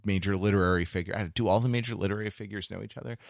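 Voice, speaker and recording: A man chatting casually as a podcast co-host, speaking in a halting, stop-and-start way as he searches for words. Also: lowish voice, steady tone, creaky, no echo, clean studio sound.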